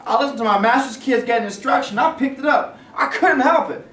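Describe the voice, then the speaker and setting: A man's voice: extremely high pitch, brisk, sharp tone. A man playing a frightened, deferential runaway slave in a staged play reading, pleading urgently and politely. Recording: echoey room, distant mic, background noise.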